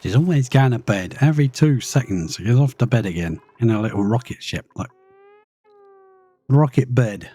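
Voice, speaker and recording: A man casually commenting on his live gameplay, chatty and thinking aloud as he plays, his voice slightly croaky after being ill. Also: mid-range voice, animated, moderate pace, warm tone. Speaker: live streamer.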